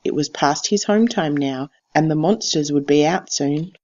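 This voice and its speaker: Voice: medium pitch, moderate pace, a little mellow, dull audio. Teacher reading a picture book. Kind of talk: expressive picture-book read-aloud narration.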